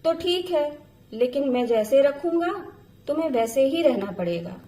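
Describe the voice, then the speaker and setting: A woman's voice: high-pitched, animated, a little slow, warm tone, pitched above the performer's own voice. A woman storyteller voicing an irritable, domineering husband who answers curtly and dismissively. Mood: annoyed. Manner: curt, scolding, dismissive.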